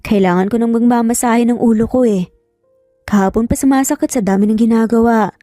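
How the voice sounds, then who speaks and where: Lady reading as a flirty filipino engineer boss guy, playful and informal, mellow, music under it. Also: on the high side, brisk, dry recording, close to an everyday voice. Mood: teasing.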